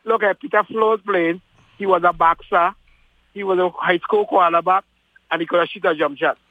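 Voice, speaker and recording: Guy calling in, pretty high voice, thin phone sound, chatty. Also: fairly even intonation, moderate pace, narrow, tinny audio. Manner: chatty, piles up yeahs, drifts into stories. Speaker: guest, former radio broadcaster. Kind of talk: casual reminiscing chat.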